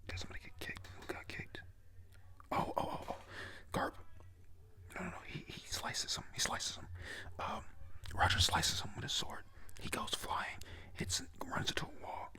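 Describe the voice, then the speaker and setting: A young adult whispering a rambling, excited play-by-play recap at home, full of fillers. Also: moderate pace, long pauses. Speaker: anime episode recapper. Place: at home with family nearby.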